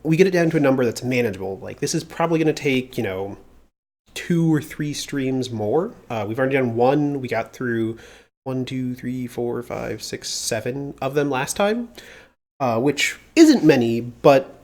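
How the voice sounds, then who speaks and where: A man with a slightly congested voice talks his way through work on a live stream. He speaks casually at a middle pitch, pausing often with fillers as he thinks aloud into a mounted desk mic. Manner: thinking aloud, relaxed, many filler pauses. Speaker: livestreamer doing security research. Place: home desk with mechanical keyboard. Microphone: mounted desk studio mic.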